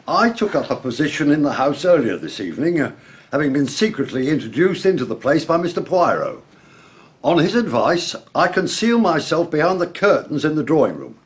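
Radio drama: dutiful British chief inspector, official diction, husky, lively intonation. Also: male voice, fairly high pitch. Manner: official, measured report-giving. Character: formal, dutiful male police chief inspector.

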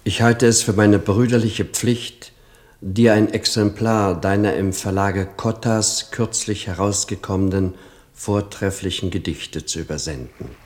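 A man's voice: lowish voice, fairly even intonation, measured pace, a little mellow, lightly acted. A male actor in a German radio play voicing a dutiful stepbrother reading his letter aloud in a stilted, ceremonious manner. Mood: formal devotion.

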